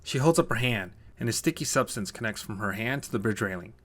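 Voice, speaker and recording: A man's voice: on the low side, lively intonation, fast. A man narrating a scripted short story as a storyteller.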